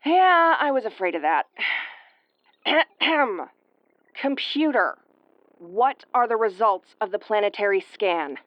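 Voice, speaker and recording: A woman's voice: a little high, lively intonation, slow pace, long pauses, dry recording, muffled recording. A woman playing a brash, easily flustered starship commander with a tomboyish, brusque, sweary delivery in an audio roleplay. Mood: tense. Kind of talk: audio roleplay acting, spoken to the listener.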